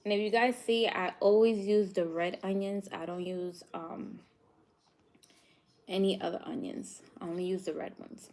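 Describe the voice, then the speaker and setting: A woman's voice: medium pitch, fairly even intonation, moderate pace, darkish tone. A woman from New York hosting a live cooking stream, chatting casually with viewers and laughing often. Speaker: cooking show host.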